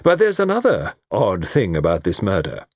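A man's voice: low voice, huge pitch swings, moderate pace, no room sound, studio quality, heavily acted. A man voicing a stern, suspicious old English colonel in an audiobook, with a heavy, grave, blustering manner. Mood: dry, grim. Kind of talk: audiobook character dialogue.

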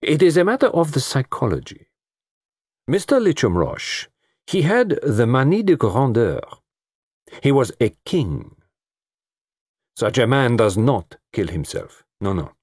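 A man's voice: mid-range voice, very animated, measured pace, pauses often, darkish tone, husky, no echo, clean studio sound, voice pushed up. A man voicing a shrewd, precise middle-aged male detective with a put-on French accent. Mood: commanding. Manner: precise, formal, probing questions. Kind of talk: audiobook character voice.

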